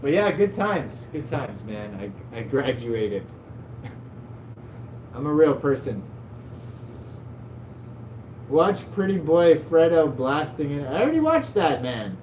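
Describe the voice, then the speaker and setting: A man's voice: on the high side, sing-song, slow, long pauses, darkish tone, highs cut off. Rambling man streaming from an echoey room. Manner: rambling, loose, peppered with "like" and self-mocking laughs. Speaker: livestream host. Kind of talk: casual stream chat, storytelling. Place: at his desk at home. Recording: echoey room, faint background hum.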